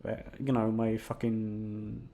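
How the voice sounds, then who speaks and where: A man's voice: fairly low pitch, completely flat delivery, slow pace, vocal fry, dark tone. A young man rambling in a casual chat, with a goofy, self-deprecating and sweary way of talking. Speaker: audio content creator. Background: background music.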